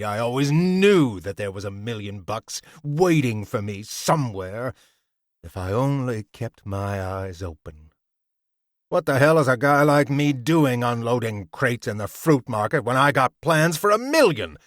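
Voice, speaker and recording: A man voicing a greedy, scheming rough laborer who talks in slangy, coaxing threats. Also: mid-range voice, big pitch swings, measured pace, no echo, studio quality. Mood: menacing.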